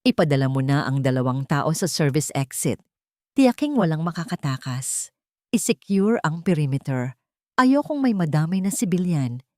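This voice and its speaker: A woman's voice: deep voice, very animated, normal speed, mellow, no echo, clean studio sound. A woman voicing a terse, authoritative man who directs police units in a dramatic audio story. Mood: urgent command.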